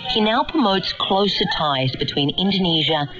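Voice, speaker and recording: A woman's voice: medium pitch, sing-song, normal speed, music in the background. A woman reporter narrating a documentary and asking interview questions, heard on a shortwave radio capture. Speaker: reporter and presenter. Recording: muffled shortwave radio capture, crowd-like background.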